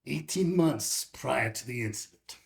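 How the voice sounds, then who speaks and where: Gravelly creaky voice, unhurried, man acting a haunted small-town witness, wistful, brooding, film script reading. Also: medium pitch, animated. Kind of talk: table read acting.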